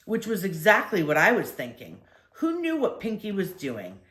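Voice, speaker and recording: An older woman reading a children's story aloud expressively, with deliberate phrasing and drawn-out emphasis. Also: medium pitch, wildly swooping pitch, fairly bright tone, reverberant.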